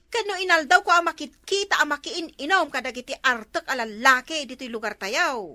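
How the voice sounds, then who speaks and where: A woman's voice: sits high, brisk, sharp tone, no echo. A woman with an Ilocano accent acting a gossipy, meddling older sister figure in a comedy drama. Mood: urgent gossip.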